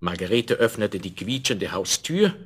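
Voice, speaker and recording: A man narrating a fairy tale in a measured, expressive way, addressing the listener, on an old vinyl record. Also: on the low side, slightly rough. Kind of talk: scripted fairy-tale storytelling. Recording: crackly 1970s vinyl record transfer.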